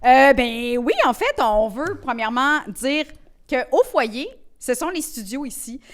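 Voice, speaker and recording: A woman's voice: sits high, expressive, a little slow. A woman with a Quebec French accent co-hosting a podcast, chatting and making announcements in an informal, playful way. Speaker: podcast co-host. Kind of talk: casual chat and announcements.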